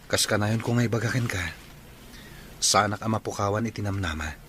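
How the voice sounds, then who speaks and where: A man's voice: lowish voice, normal speed, fairly bright tone, slight background noise, lightly acted. A man playing an earnest, patient young suitor in an Ilocano radio drama. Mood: pleading.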